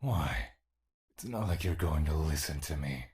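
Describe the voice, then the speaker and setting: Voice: very deep voice, lively intonation, unhurried, a little mellow. An actor voicing a spirit trapped inside a man, talking to him in a cold, taunting, sarcastic way.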